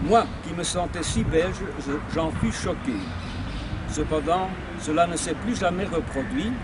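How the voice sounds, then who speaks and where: Older Belgian man reading, high voice. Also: very noisy. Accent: Belgian French. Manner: unhurried, slightly halting reading with small restarts. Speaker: reader of his wife's memoir. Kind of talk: reading a text aloud with spoken asides.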